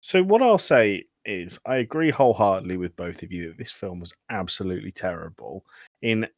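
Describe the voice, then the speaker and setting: A man's voice: sits low, animated, a little fast, no echo. A man hosting a casual film podcast, with a dry, sarcastic way of talking. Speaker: podcast host steering the discussion.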